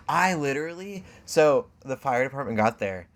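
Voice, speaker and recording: A man's voice: fairly high pitch, expressive, measured pace. A man hosting a podcast in a casual, jokey, self-deprecating chat style. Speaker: podcast host. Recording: clear background noise.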